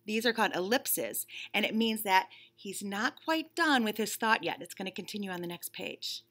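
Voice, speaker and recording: A woman's voice: mid-range voice, expressive, moderate pace, a bit bright. A woman in her thirties reading a picture book aloud expressively, with emphatic, instructive delivery, like a teacher. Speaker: children's read-aloud storyteller, teacher. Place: small indoor room, seated.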